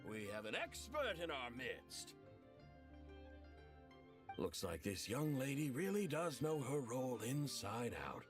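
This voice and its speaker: An actor playing a theatrical role-play enthusiast in a video game, pompous, dramatic and grandly effusive. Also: male voice, medium pitch, sing-song, unhurried, pauses often, faint music behind. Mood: delighted. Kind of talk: scripted video game dialogue.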